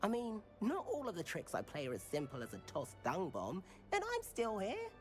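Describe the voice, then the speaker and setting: A man's voice: high-pitched, wildly swooping pitch, moderate pace, faint music behind. A man playing a cheeky, easygoing teenage boy prankster at a wizard school in a game, playful and breezy. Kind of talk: scripted game dialogue.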